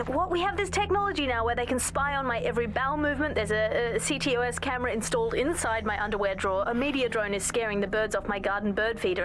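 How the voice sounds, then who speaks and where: A woman's voice: on the high side, close to an everyday voice. A woman playing an indignant, wry co-host of a satirical comedy radio show, given to crude comic rants.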